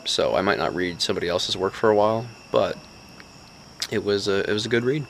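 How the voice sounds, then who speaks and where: A man's voice: lowish voice, brisk, vocal fry, slight background noise. A young man talking casually into a camera at arm's length, rambling with lots of fillers in a small room. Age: young adult. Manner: relaxed, rambling with many fillers. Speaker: vlogger. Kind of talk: casual video diary. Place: small bedroom and dorm room indoors. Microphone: phone or camera at arm's length.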